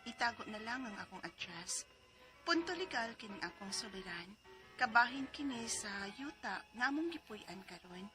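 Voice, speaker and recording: A woman's voice: a little high, animated, normal speed, over music. A woman in her forties with a Cebuano accent, earnestly narrating her own story as a letter sender. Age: 40s.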